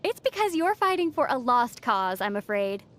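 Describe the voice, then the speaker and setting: A woman playing a young female traveler in a video game, gently teasing and reassuring, with a playful, dry manner. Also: on the high side, sing-song, moderate pace. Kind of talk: video game character dialogue.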